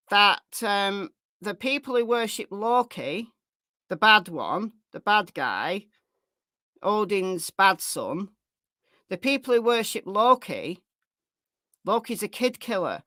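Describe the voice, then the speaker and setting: A woman's voice: mid-range voice, pushed and bright, no room sound. A woman hosting a true crime livestream, commenting and replying to chat, repeating phrases for emphasis. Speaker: livestream host.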